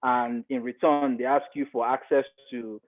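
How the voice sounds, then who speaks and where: A man's voice: medium pitch, flat delivery, moderate pace. Nigerian man, thin phone-like sound. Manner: emphatic, repeats phrases for emphasis. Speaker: interview guest, media expert. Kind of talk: conversational interview commentary.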